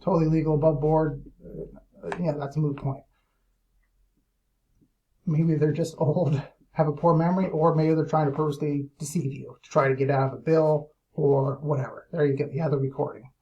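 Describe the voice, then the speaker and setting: Male, somewhat higher-pitched voice; blunt, emphatic business owner talking informally to clients. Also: steady tone, moderate pace, warm tone, highs cut off. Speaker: lead generation business owner. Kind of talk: informal monologue explainer to clients.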